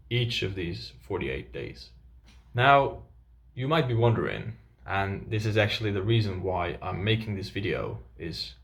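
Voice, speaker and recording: A young man whose first language is Dutch, presenting an upbeat video lesson with tips for viewers. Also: lowish voice. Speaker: language coach.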